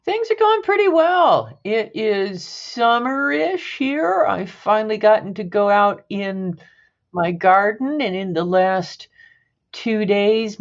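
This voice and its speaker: A woman's voice: mid-range voice, dull audio. A woman co-hosting a podcast, chatting casually with brief, dry remarks. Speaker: podcast co-host.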